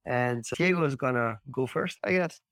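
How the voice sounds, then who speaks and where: A man's voice: medium pitch, normal speed, a bit bright, clear, resonant tone, dry recording, studio quality. A man from Caribbean America, hosting a casual chat and explaining things in short, broken phrases. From Caribbean Latin America. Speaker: podcast host.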